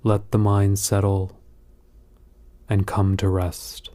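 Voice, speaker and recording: Male meditation narrator: lowish, very soft-edged relaxed tone, near-flat delivery, calm and unhurried phrasing. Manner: calm, measured, soothing instruction with long pauses. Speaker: meditation guide.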